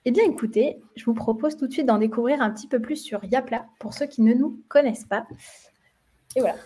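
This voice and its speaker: A young Parisian woman hosts an online webinar. She talks in a slightly higher, mellow voice. She keeps stopping for fillers and small restarts. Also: a little fast, breathy edge. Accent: Parisian French. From France. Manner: hesitant, many fillers and restarts. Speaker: marketing webinar host. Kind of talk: webinar presentation.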